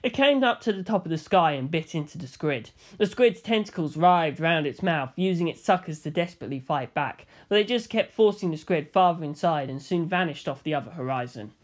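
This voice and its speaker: An audiobook reader telling a story in the first person. The voice sits at a middle pitch and moves around a lot, giving the narration an expressive, animated feel. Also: brisk, hardly any pauses.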